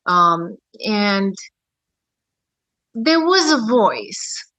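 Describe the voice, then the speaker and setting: Woman, 30s, podcast guest; slow, reflective storytelling with frequent pauses, bright tone. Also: mid-range voice, very animated, dry recording. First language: Romanian. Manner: reflective, with many pauses and fillers. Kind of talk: personal storytelling.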